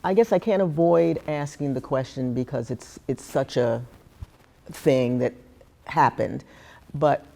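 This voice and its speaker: A woman with a strikingly deep voice and a gravelly edge asks her questions slowly and carefully. Her tone is dark and mellow, and she conducts the conversation like a seasoned interviewer. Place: art gallery.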